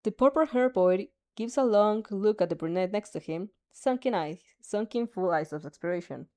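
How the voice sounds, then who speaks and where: A woman's voice: medium pitch, animated, normal speed, warm tone. A woman reading a fanfic aloud, with laughing asides and her own reactions. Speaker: fanfic reader.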